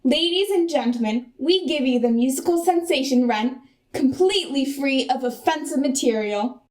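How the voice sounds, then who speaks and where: Woman playing a high-voiced grandiose pitchwoman. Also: normal speed, natural voice. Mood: grandiose, salesy. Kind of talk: parody advertisement in a radio play.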